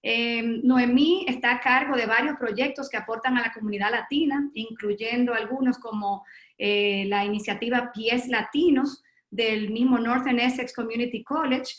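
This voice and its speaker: Webinar host: woman, high-ish voice, echoey room, formal introductions with pauses. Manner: reads a long formal bio with filler pauses. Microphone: video call.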